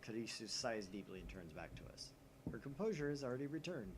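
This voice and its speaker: Animated man narrating a visual novel. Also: mid-range voice, brisk, background noise. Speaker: gaming channel host.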